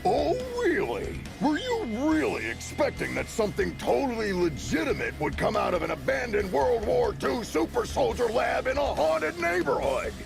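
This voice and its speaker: An actress playing a sarcastic, scornful crime-fighter questioning a suspect with biting disbelief in a video game cutscene. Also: female voice, a little high, very animated, normal speed, creaky, hardly any pauses, heavily acted. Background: game music.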